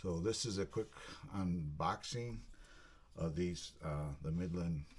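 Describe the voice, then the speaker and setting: A man giving a casual, halting hands-on unboxing commentary, muttering to himself as he works. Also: low voice, darkish tone. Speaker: video host, product unboxer. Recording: clear background noise, handling clicks.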